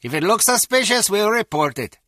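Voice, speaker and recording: High-voiced, unbothered lookout. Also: male voice, sing-song, a little fast, pushed and bright, dry recording, studio quality, full character voice. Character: casual, unbothered male henchman on lookout.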